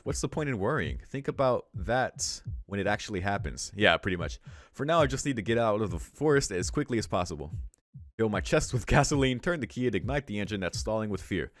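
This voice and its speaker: A man with a fairly low voice reads game narration aloud and drops in casual comments. The sound is close and very dry. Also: big pitch swings, a little fast, no room sound. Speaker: let's play host. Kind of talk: reading visual novel narration aloud with casual asides.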